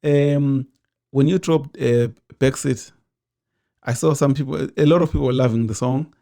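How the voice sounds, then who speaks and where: A man hosting a casual interview, relaxed and repetitive, filling in with lots of agreeing. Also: medium pitch, moderate pace, creaky. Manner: relaxed, repeats words, lots of yeah.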